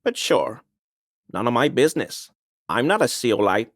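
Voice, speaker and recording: A man's voice: mid-range voice, big pitch swings, normal speed, no echo, clean studio sound. An actor playing a smug, conspiracy-minded man in a video game, speaking in an insistent, knowing, insinuating way. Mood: dismissive, smug. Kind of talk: video game dialogue voice lines.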